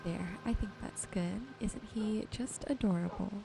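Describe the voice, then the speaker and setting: A woman softly and gently narrating her gameplay in an ASMR style, musing to herself as she goes. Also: medium pitch, unhurried, vocal fry, noisy background. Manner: soft-spoken, gentle musing to herself while sorting. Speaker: gaming video host.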